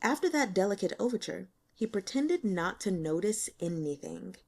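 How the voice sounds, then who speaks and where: Animated woman narrating a novel. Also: medium pitch, moderate pace, creaky. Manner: expressive, dramatic storytelling. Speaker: audiobook reader.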